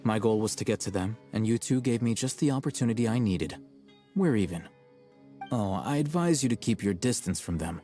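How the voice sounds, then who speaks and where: An actor playing an aloof, blunt young scholar in a video game, cool, articulate and condescending. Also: male voice, on the low side, lively intonation, a little fast, dark tone, lightly acted. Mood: cool, curt. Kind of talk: English video game voice acting. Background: faint game background music.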